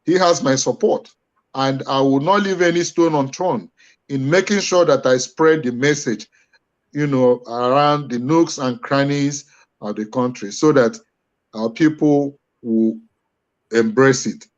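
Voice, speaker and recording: A man's voice: mid-range voice, measured pace, highs cut off. A man with a Nigerian accent giving impassioned political commentary as a panel guest, speaking in an emphatic, repetitive, oratorical manner.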